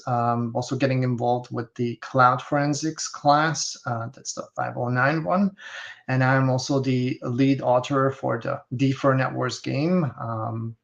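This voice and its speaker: A man from Hungary, a guest instructor speaking haltingly with many fillers. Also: medium pitch, creaky, fairly bright tone. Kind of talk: casual webinar talk. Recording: dull lo-fi video call audio.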